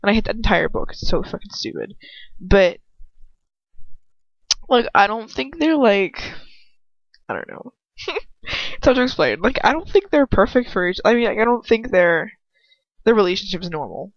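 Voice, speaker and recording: A woman's voice: mid-range voice, very animated, moderate pace, creaky, long pauses, no echo. A woman reading fan fiction aloud as an amateur, with casual, self-conscious asides in an informal, chatty manner. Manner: informal, self-conscious, giggly, swearing, hedging with "like". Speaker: amateur audiobook reader. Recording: dull lo-fi home recording.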